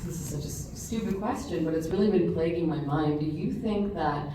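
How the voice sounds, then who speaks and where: A woman's voice: on the low side, a little fast, noisy background. A woman fan asking a convention guest a question, sheepish and rambling. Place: large convention hall. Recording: echoey hall from far off, crowd behind.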